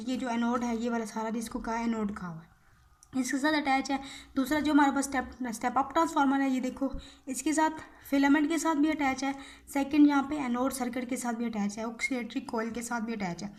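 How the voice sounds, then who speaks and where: A woman giving an informal explanatory lecture, repeating key phrases and often checking in with short tag words. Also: sits high. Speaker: physiotherapy lecturer.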